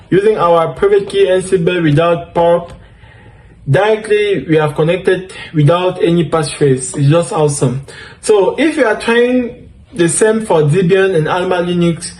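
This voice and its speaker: Slow, upbeat French-native man teaching. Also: high-pitched, a little mellow, low-quality recording. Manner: unhurried, thinks aloud with many fillers and self-corrections; upbeat, keeps saying things are awesome. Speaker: tech tutorial host. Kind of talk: screen-recorded coding tutorial.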